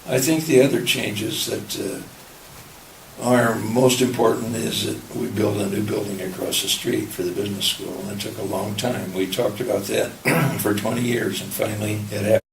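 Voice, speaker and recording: An elderly man with a low, gravelly voice reflects in an interview in a halting way, circling back mid-sentence. He is some way from the microphone in a roomy space, with clear noise behind him. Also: steady tone. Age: 70s or older. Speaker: university professor of finance. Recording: roomy, distant mic, clear background noise.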